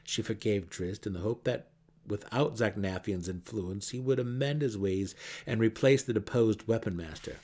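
A man's voice: lowish voice, normal speed, dark tone. A man reading a fantasy novel as a narrator in a theatrical, emphatic way. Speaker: audiobook narrator.

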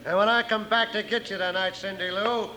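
A man's voice: high voice, fairly even intonation, a little fast, noisy background, close to an everyday voice. An actor playing a hot-tempered, bullying rancher and gunman in an old radio western, sneering and barking orders. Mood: gloating, domineering. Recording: old radio recording, crowd murmur behind.

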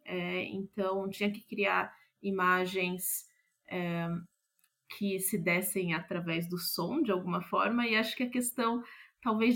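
A woman's voice: medium pitch, fairly bright tone. A Brazilian woman, a playwright being interviewed, thinking aloud and often searching for her words. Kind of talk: reflective interview conversation.

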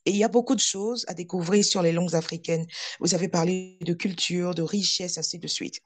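A woman hosting a podcast, interviewing a guest in a measured, formal way. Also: fairly low pitch, fairly even intonation. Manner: measured, formal phrasing with fillers. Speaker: podcast host.

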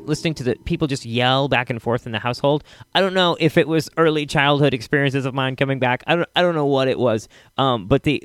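A man hosting a podcast in casual, halting solo chat, repeating himself for emphasis. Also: mid-range voice, dry recording, clean studio sound. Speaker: podcast host.

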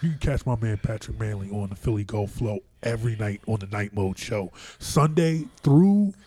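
A man, hosting a casual sports podcast, relaxed, often repeating and restarting words. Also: normal speed. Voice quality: deep voice. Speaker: podcast host. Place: on location in a room.

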